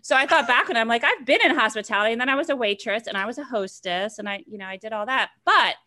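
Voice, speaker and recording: An energetic woman in her forties, chatting as a podcast guest. She gets loud and animated when she is excited, and she tells her stories with a lot of eager enthusiasm. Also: a little high, fast talker. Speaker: podcast guest, marketing executive. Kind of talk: casual interview chat.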